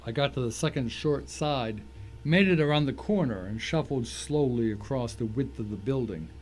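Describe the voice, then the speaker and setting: A man narrates a suspense story in the first person, with a mid-pitched voice. He reads from a script in a dramatic way, and there is faint noise behind him. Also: animated, normal speed, darkish tone.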